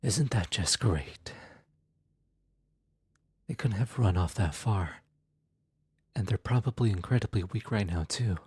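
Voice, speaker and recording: A performer playing a gentle, awkward demon soul retriever in an ASMR audio roleplay, speaking softly and hesitantly, often close to a whisper. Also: sits low, husky, no room sound, exaggerated voice. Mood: uneasy muttering. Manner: hesitant, halting, sighing, muttering to self.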